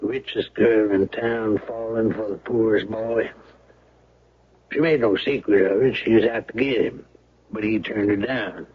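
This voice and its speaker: An actor playing a laconic, knowing small-town sheriff in a radio mystery drama, folksy and unhurried. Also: male voice, on the low side, fairly even intonation, slightly rough, narrow, tinny audio. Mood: reminiscing. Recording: thin, band-limited old radio broadcast.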